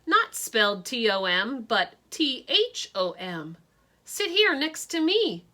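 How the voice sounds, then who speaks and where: A gawky, eager schoolboy chatters in a high, bouncing storybook voice, as a woman puts him on. His pitch leaps around as he points things out, lively and friendly. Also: takes it slowly, full character voice. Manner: chatty, excited, raised pitch. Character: gawky eager boy with big glasses.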